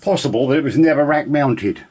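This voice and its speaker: A man giving a casual, unscripted demonstration of vintage gear, chatty and rambling with halting pauses. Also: mid-range voice, over music.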